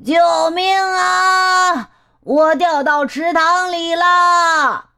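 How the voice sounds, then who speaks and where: A woman's voice: very high voice, drawn-out words, bright, forceful tone, pitched above the performer's own voice, full character voice. A woman voicing a mischievous young elephant trickster with exaggerated, plaintive calls. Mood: pleading.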